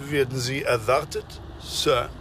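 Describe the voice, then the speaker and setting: A man playing an impassive, scrupulously polite butler in a radio drama, deferential and measured. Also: mid-range voice, steady tone, fairly bright tone, a little hiss or hum, lightly acted. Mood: polite, reserved. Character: formal, impassive male English butler.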